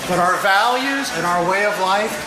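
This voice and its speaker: A man's voice: high-pitched, normal speed, very noisy. A man giving a short campaign speech to a meeting in a direct, confident way. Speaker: political candidate. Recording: echoey room.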